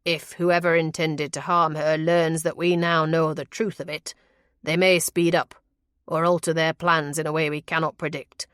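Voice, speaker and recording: Woman as a stern, low-voiced lord. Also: no room sound, studio quality. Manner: formal, stern, deliberate. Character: commanding, troubled male aristocrat, protective and intense. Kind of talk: audiobook character voice.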